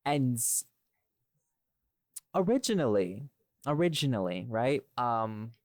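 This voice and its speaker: A man's voice: medium pitch, very animated, slow, a little mellow, no room sound, studio quality. A man in a casual podcast chat, talking in a rambling, irreverent way and swearing freely as he explains himself. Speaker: podcast host and voice actor.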